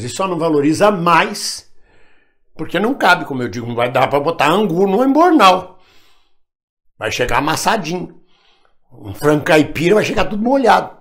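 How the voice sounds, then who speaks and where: An older man from Minas Gerais talks straight into the camera from his home, emphatic and proud. His voice sits a little high and swings widely in pitch. He sounds like a seasoned actor making his case. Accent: Brazilian Portuguese, national standard. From Minas Gerais, Brazil. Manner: emphatic, proud, defensive, lively. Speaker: actor addressing followers. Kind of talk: direct-to-camera monologue. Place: home room with bookshelf, indoors.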